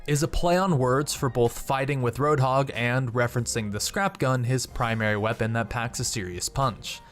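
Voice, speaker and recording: A man's voice: mid-range voice, normal speed. A young man hosting a gaming channel, reading scripted video narration. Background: background music.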